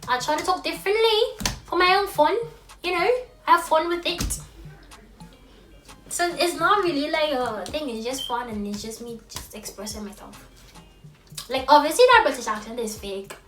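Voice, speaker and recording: Young Trinidadian woman vlogger, high bright voice, expressive and quick, echoey room with some distance from the mic. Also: soft background music. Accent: Trinidadian with American influence. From Trinidad and Tobago. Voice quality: high-pitched youthful voice. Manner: expressive, lively, quick when excited, laughs often. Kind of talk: casual talk to camera.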